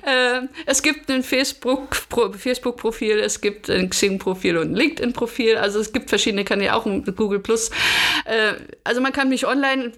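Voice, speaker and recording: A woman's voice: on the high side, normal speed, fairly bright tone. A woman from Berlin speaking as a podcast guest and coach, giving considered, structured answers in a conversational interview style. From Germany, Berlin.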